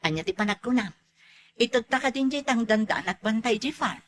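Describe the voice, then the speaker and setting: An actress with an Ilocano accent playing a proud, reproachful elder sister who owns a farm, in a comedy drama. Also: female voice, on the high side, expressive, fairly quick, a little hiss or hum, close to an everyday voice. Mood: eager. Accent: Ilocano, northern Philippines.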